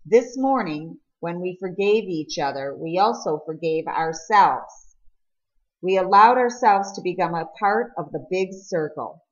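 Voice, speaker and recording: A woman's voice: on the low side, animated, measured pace, lo-fi sound. Woman reading as a remorseful, earnest teenage boy.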